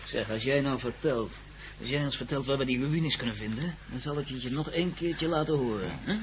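An actor playing a cocky, wheedling adventurer in a radio play, talking in a familiar, coaxing way. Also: male voice, mid-range voice, fairly quick, noisy background, close to an everyday voice. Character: cocky, teasing, wheedling adventurer with a tape recorder.

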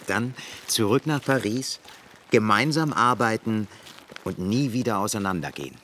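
A man's voice: lowish voice, animated, normal speed, a bit bright. Ambitious scrappy young guy in a radio drama, a bit of background noise. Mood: fond, reminiscing. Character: ambitious, combative young man from poor background. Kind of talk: radio play acting.

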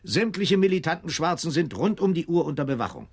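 Bomb squad captain, husky, lively swooping intonation, wry, seasoned, 1970s German radio crime drama. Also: male voice, a little high, fairly quick, exaggerated voice. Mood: matter-of-fact. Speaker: actor.

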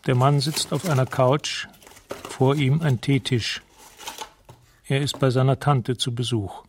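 A man's voice: medium pitch, steady tone, measured pace, a little hiss or hum. A man narrating a radio drama in a detached, precise, scene-setting way.

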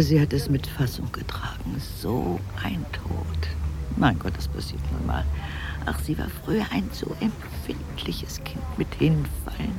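An actress in a German radio play playing a stern, self-righteous mother reminiscing, with a breathy, creaky voice and haughty, measured delivery. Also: extremely low pitch, heavily acted, rough recording. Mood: resigned, musing.

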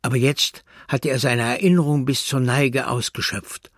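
A man with a husky, creaky voice narrating a literary radio play from a script. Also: mid-range voice, fairly even intonation, normal speed, no echo, clean studio sound.

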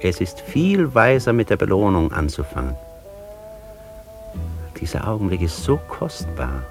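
Exalted male master poet, radio drama: deep, slow, solemn, rhapsodic, weighty pauses. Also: sing-song, music in the background, exaggerated voice. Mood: rapturous, savoring. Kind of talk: German radio drama acting, literary declamation.

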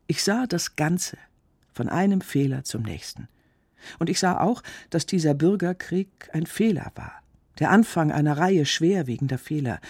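A male actor voicing a sober, troubled writer in a radio monologue, speaking in measured, emphatic short phrases with a husky, gravelly voice. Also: fairly high pitch, mellow, no room sound, clean studio sound, exaggerated voice. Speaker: actor reading a writer's reflections. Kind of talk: spoken essay monologue in a radio production.

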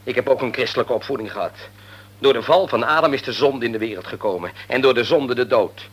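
An actor playing a middle-aged grieving father in a radio play, bitter and argumentative, sinking into quiet despair. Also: mid-range voice, fast talker, husky, natural voice. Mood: bitter, arguing.

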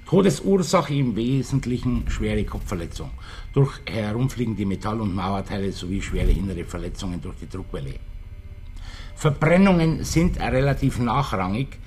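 An actor playing a matter-of-fact police detective with a laconic, clipped way of reporting, in a radio crime drama. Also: male voice, on the low side, darkish tone, slight background noise.

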